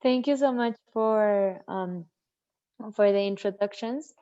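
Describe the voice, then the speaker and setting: A woman hosting an online workshop, friendly and organized, pausing often with fillers. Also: mid-range voice, dark tone, clear, resonant tone, no echo. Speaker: workshop host and mentor. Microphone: video call. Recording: dull video call audio.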